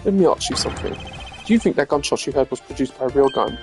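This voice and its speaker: A man voicing a composed, dry-witted young prosecutor in a courtroom video game, formal, measured and sardonic. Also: mid-range voice, animated, over music, lightly acted. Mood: pressing. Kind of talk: game character voice-acting.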